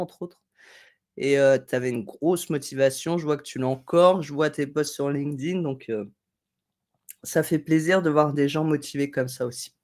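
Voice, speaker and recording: A woman with a low voice chats through a live stream from a room at home, picking up viewers' comments. Her talk wanders and is full of small fillers. She sounds slightly stuffed up from a cold. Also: a little mellow. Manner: chatty, digressive, friendly, thinking aloud with fillers. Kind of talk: casual live tutorial, reading chat comments. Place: home room at a desk, indoors.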